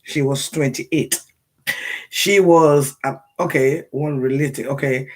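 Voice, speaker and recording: A hoarse woman from Sierra Leone with a Sierra Leonean accent, talking casually on a livestream and repeating phrases for emphasis. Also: very deep voice, steady tone, slow. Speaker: livestream commentator.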